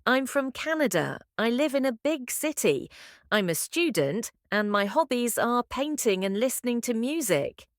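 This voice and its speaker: A woman co-hosting a podcast English lesson, speaking in a slow, clear, friendly teaching manner. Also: a little high, big pitch swings, no echo, clean studio sound. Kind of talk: scripted English lesson dialogue.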